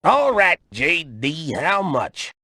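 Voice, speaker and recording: Old southern country uncle, slow sharp delivery, folksy, dry video game sound. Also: male voice, on the high side, very animated, dry recording, exaggerated voice. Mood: reassuring, gruff. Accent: American South. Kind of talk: scripted video game acting.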